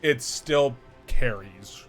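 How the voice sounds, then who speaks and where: Young male co-host, big loud voice, excitable and cussing, casual stream banter, light music behind. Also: mid-range voice, very slow. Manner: loud, excitable, swears often. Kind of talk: casual gaming stream chat.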